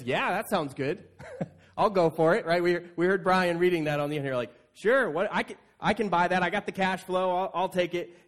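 A man with a fairly high voice delivers a sermon as a pastor. He talks conversationally to his congregation, telling the story in an animated manner and slipping into casual paraphrased quotes. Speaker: pastor preaching. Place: church, speaking to an assembled congregation.

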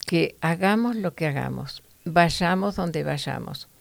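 A woman's voice: low voice, expressive, takes it slowly, creaky, dry recording. A woman teaching from the Bible to other women in an earnest, preachy way, with fillers and restarts.

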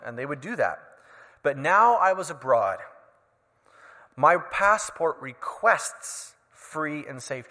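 Canadian man preaching in a reverberant hall. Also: fairly high pitch. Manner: conversational, explanatory, with relaxed asides. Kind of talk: sermon. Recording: long reverb of a large hall.

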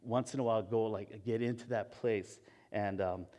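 A man's voice: fairly low pitch, fairly even intonation, mellow. A man from Hawaii, a pastor, gives a conversational sermon with personal stories. He laughs at himself often and restarts his sentences a lot. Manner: chatty and self-mocking, laughs often at himself; halting, frequent restarts and fillers.

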